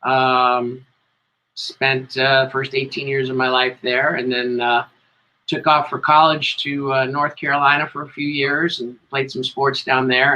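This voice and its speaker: A man's voice: medium pitch, sharp tone. A middle-aged man with an American accent chatting casually as an interview guest, talkative and self-deprecating over a video call. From Connecticut, United States. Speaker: guest, tribute band singer. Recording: dull lo-fi audio.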